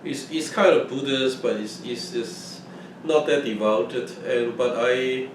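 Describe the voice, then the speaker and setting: Older man with halting, restarting speech. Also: mid-range voice, steady tone. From Hong Kong. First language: Fujianese (Minnan). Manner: halting, many restarts and repeated words. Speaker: oral history interviewee. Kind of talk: casual recollection. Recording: echoey room, mic at a distance, faint noise.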